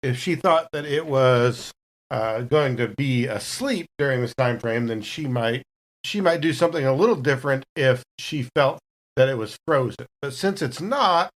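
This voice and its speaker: A woman's voice: rumbling low, expressive, normal speed. A woman playing a tabletop game, casually and wryly thinking through what her character does.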